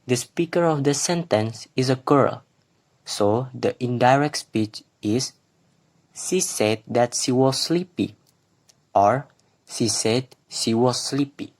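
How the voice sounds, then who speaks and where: A man's voice: mid-range voice, takes it slowly, a little mellow. A young man with an Indonesian accent, a student presenting class slides who switches into Indonesian to explain, with hesitant fillers. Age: young adult. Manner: plain reading, with hesitant fillers when explaining.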